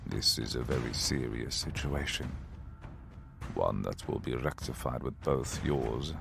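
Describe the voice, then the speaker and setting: Super deep arrogant dragon, slow and pompous, threatening, fantasy audio play with music. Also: male voice, heavily acted. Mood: imperious. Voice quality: gravelly. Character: arrogant, menacing male dragon rival in humanoid form. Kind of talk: fantasy audio drama acting.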